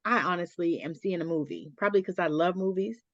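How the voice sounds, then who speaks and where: A woman's voice: on the low side, fast talker, dry recording, muffled recording. A middle-aged woman hosting a casual chat, blunt and colloquial, peppering her talk with "you know". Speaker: interview host.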